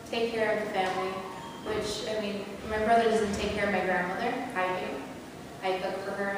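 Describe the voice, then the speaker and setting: A woman's voice: medium pitch, background noise. A young woman student, an interviewee earnestly describing her family duties. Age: young adult. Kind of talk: personal testimony. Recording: reverberant hall from far off.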